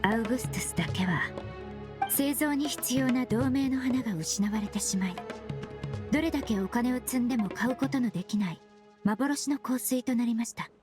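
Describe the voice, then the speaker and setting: Woman playing a high-voiced, composed young perfumer. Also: over music, natural voice. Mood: calm, explanatory. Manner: polite formal speech, measured explanatory delivery. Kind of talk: Japanese anime game voice acting.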